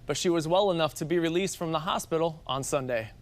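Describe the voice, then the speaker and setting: A man's voice: on the high side, fast talker. A man with an American accent reading scripted television news as an anchor.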